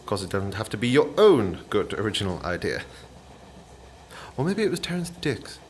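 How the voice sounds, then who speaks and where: An actor playing an affable, bumbling train passenger in a radio play, polite, hesitant and rambling in naturalistic dialogue. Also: male voice, fairly low pitch, sing-song, normal speed, slight background noise. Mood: wry.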